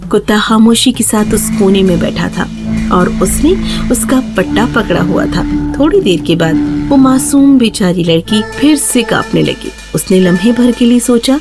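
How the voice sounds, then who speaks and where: A woman narrator reading a scripted children's fairy tale in a storytelling style. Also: fairly high pitch.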